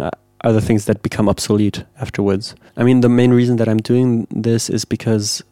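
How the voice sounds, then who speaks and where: A man, a composer, giving thoughtful, measured interview answers and searching for the right words. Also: medium pitch, warm tone, no room sound, studio quality. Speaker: composer guest.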